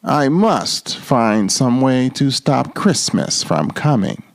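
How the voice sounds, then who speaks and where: A man's voice: medium pitch, big pitch swings, takes it slowly, gravelly, dry recording, exaggerated voice. A man voicing a sour, scheming old storybook creature with a growling, sneering delivery. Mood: nervous, resentful. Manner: snarling, sneering. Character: sour, scheming old male Grinch who hates Christmas.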